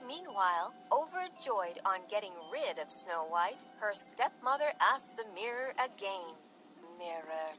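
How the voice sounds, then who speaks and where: A woman's voice: medium pitch, sing-song, a little slow, few pauses, pushed and bright. A woman storyteller reading a scripted bedtime story for children. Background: music bed.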